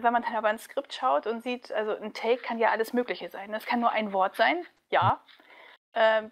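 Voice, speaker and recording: A woman who works as a voice actress, chatting casually and thoughtfully as an interview guest, with a giggly streak. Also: on the high side.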